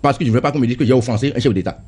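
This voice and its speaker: Hurried, husky politician. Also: male voice, medium pitch, darkish tone. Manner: insistent, repeats and stammers on words. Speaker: member of parliament. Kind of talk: heated political debate.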